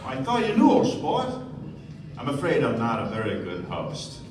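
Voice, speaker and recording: A man's voice: medium pitch, huge pitch swings, normal speed. A man playing a suave, courteous, wealthy American host with genteel phrasing in a stage radio play. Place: theater stage before an audience. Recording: echoey stage, distant mic, noisy room.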